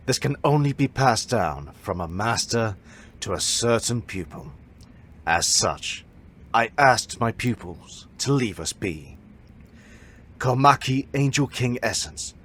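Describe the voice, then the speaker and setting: A man playing a proud, stern martial arts master who instructs a pupil in formal, measured speech for an audio drama. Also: medium pitch, fairly bright tone, slightly rough. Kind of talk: fan audio drama acting.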